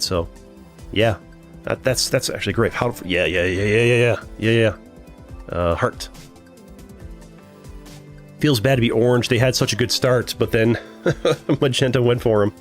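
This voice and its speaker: A young man with a deep voice casually commenting on his strategy game live, thinking aloud and answering chat. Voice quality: deeper voice than usual. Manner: chatty, thinks aloud, reads chat and laughs often. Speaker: streamer.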